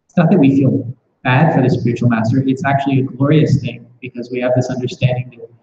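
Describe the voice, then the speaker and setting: A man's voice: mid-range voice, fairly even intonation, fast, mellow, a little hiss or hum. A man leading a scripture class with questions, speaking reflectively and often restarting with repeated words. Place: temple class room. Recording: echoey muffled room recording.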